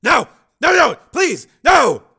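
A man's voice: extremely high pitch, huge pitch swings, slow pace, vocal fry, pushed and bright, voice pushed up, exaggerated voice. A man voicing a terrified dragon pleading for mercy in a storybook reading. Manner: pleading, crying out.

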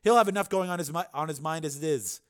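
A man's voice: fairly high pitch, fast talker, a bit bright, voice pushed up, natural voice. A man voicing a cool, calculating government official in an audiobook, speaking in an insistent, matter-of-fact way. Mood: blunt, unapologetic.

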